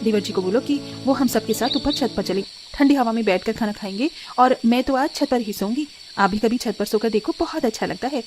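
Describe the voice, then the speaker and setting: A woman's voice: on the high side, brisk, few pauses, close to an everyday voice. Good-natured, cheery young village wife, voiced by a woman, cartoon drama, faint music. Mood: cheerful. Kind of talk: acted cartoon story dialogue.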